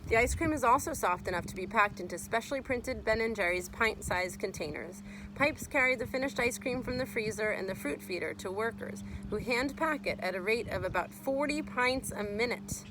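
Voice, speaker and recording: A woman's voice: fairly high pitch, background noise. A woman reading a children's nonfiction book aloud as a teacher would, explaining and speaking to young listeners.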